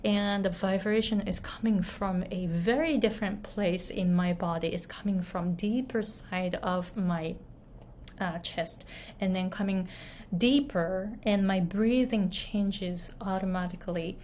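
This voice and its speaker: Slow-spoken woman voice coach. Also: medium pitch, fairly even intonation, slight background noise. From Japan. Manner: gentle, halting with many restarts and fillers. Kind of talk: video lesson talking to camera.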